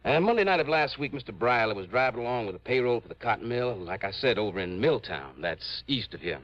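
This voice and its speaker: An American actor playing an earnest insurance manager who explains things haltingly in a 1950s radio drama. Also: male voice, mid-range voice, sing-song, no echo, lightly acted. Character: earnest male insurance office manager briefing an investigator. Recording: dull old radio recording.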